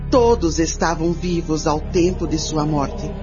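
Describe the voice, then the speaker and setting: A woman playing a bold, curious nineteenth-century mother questioning a spirit in a radio drama, deliberate and formal. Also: deep voice, steady tone. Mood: intrigued, resolute. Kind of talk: radio drama acting, first-person recounting. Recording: muffled radio drama with music behind.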